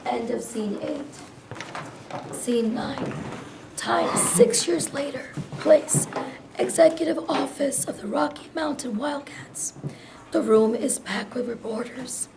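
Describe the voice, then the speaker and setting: A woman with a soft voice reading stage directions as scripted narration. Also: sits high, slow pace, vocal fry, background noise.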